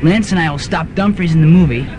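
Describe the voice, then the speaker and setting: A man playing a bossy young student rebel leader in a student radio drama, brisk and commanding. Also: fairly high pitch, music in the background, natural voice. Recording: noisy student radio recording with ambience.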